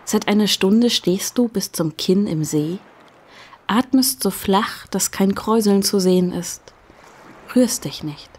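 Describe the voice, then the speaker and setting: A woman reading as the hushed, literary narrator of an audio drama, with a breathy voice. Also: medium pitch, measured pace. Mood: hushed, tense. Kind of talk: slow literary narration in second person.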